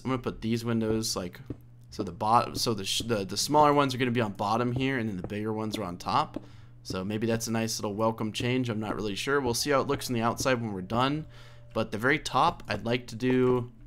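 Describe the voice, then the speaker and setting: A slightly hoarse man giving a casual, rambling let's play commentary, thinking aloud and correcting himself as he goes. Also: mid-range voice, a bit bright. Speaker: video game let's play host.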